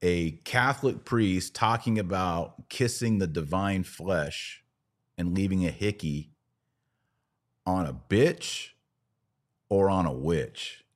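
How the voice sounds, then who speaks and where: Very deep-voiced American man, sardonic podcaster. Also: takes it slowly. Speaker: podcast host. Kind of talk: conversational religious commentary.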